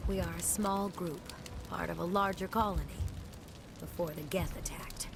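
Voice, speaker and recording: A woman's voice: on the low side, fairly even intonation, normal speed, creaky, music in the background. A woman playing a curt, guarded colonist in a science fiction game, serious and clipped. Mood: curt, stressed.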